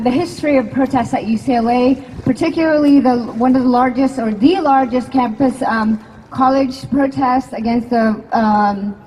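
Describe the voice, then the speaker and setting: A woman's voice: a little high, a little mellow, far from the mic, slight background noise, poor audio. Hesitant woman moderating a panel. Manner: hesitant, many fillers and restarts. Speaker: faculty member and panel moderator. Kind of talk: casual panel introduction. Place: outdoor campus courtyard.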